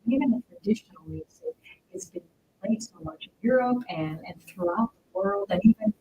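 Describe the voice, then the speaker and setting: A woman's voice: a little high, big pitch swings, brisk, vocal fry, background noise. A woman hosting an interview, asking long, trailing questions over a tinny phone-like line. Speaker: show host. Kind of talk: casual interview chat.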